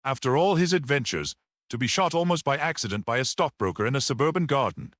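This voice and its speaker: A man's voice: mid-range voice, expressive, fast talker, a bit bright, no room sound, studio quality, pitched above the performer's own voice, natural voice. A man voicing a grave, self-satisfied detective in an audiobook mystery, assured and matter-of-fact. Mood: muttering irony.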